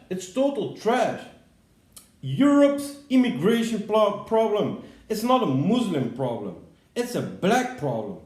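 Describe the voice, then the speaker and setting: Angry high-voiced man ranting. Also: roomy sound. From Netherlands. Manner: heated, swearing, shouting bursts. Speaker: commentator. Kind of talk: angry profane rant.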